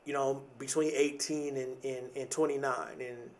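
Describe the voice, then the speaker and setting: A man's voice: mid-range voice, level tone, unhurried, darkish tone, distant mic. A man in his thirties talking in a reflective, casual podcast monologue, often repeating and restarting words, with casual slang. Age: 30s.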